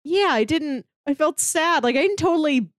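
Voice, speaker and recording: A woman's voice: high-pitched, lively intonation, normal speed, no room sound, clean studio sound. A woman co-hosting a podcast, chatting casually and giving her take on a TV drama. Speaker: podcast co-host. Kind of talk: casual chat reviewing a TV drama.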